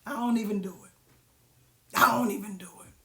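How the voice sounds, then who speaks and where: Woman host, raspy, preachy, and folksy. She asks the audience questions. Phone mic, roomy sound. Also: medium pitch, fairly quick, crowd noise. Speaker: live-stream host. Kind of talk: motivational casual talk. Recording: roomy echo.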